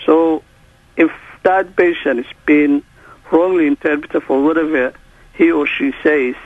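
A man whose first language is Somali, a court interpreter speaking as a guest in a halting way, with many restarts. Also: on the high side, steady tone, no room sound. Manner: halting, restarts often, non-native phrasing. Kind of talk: phone interview. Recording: tinny phone line.

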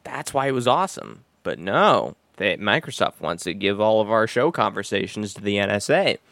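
A man's voice: on the low side, very animated, moderate pace, vocal fry, a bit bright, no echo. A man co-hosting a podcast in casual, irreverent banter, joking and ranting with plenty of profanity and sarcasm. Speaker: podcast co-host.

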